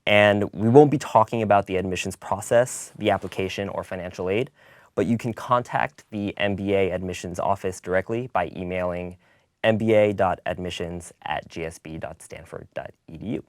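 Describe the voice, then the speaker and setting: Low-voiced young American man hosting. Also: moderate pace, no echo, studio quality. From northern New Jersey, United States. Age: 20s. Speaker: webcast moderator, student club co-president. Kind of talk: hosting a student Q&A webcast.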